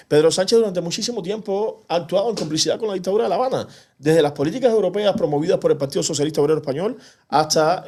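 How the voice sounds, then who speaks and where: A man's voice: mid-range voice, a little mellow. A man speaking passionately and indignantly as an activist guest in a TV interview. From Cuba. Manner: passionate, indignant, rapid bursts. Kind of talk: animated TV interview.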